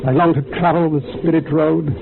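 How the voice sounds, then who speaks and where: A dying mystic doctor in a radio drama. His voice sits fairly high, pleading and rapturous, with incantatory phrasing. The sound is tinny and clearly noisy. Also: steady tone, fairly quick. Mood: yearning, pleading. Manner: solemn, incantatory, poetic. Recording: noisy, thin phone-like old radio recording.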